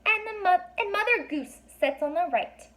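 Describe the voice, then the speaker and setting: A woman's voice: extremely high pitch, lively intonation, moderate pace, pushed and bright, voice pushed up, exaggerated voice. A woman voicing a small female fairy from a storybook, explaining things in a light, polite manner.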